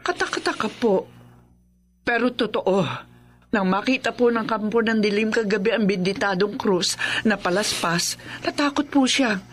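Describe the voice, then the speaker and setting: A woman's voice: mid-range voice, moderate pace, close to an everyday voice. A woman playing a devout, earnest older mother-in-law in a radio drama, emphatic and respectful. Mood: grateful, earnest. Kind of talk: Filipino radio drama acting.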